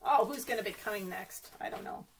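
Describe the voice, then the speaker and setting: A woman's voice: mid-range voice, brisk, gravelly, a little hiss or hum. A woman reading a picture book aloud for young children as a story time host, playfully exclaiming and asking her listeners questions.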